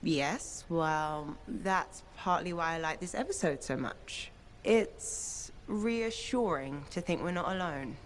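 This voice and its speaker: An actress playing a thoughtful young female scholar in a video game, explaining things in a measured, gentle way. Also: low-pitched, lively intonation, a little hiss or hum.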